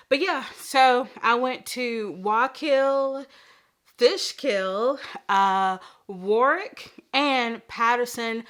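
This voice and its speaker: Bright, chatty woman. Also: fairly high pitch, clear, resonant tone. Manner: chatty, laughs often, many fillers. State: a little stuffy from allergies. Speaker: vlog host. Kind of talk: casual chat.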